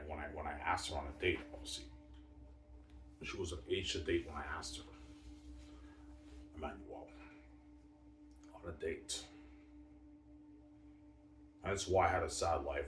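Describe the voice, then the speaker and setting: Male, 30s, very low pitch, rambling monologue vlogger, distant mic in an echoey room. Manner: repetitive, fills with "obviously" and "you know what I'm saying". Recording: roomy and distant with faint background hiss.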